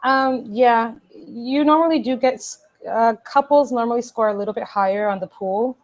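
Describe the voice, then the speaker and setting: A woman English teacher, a native Portuguese speaker, giving lively, encouraging exam advice on a video call. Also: on the high side, normal speed. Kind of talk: casual webinar advice.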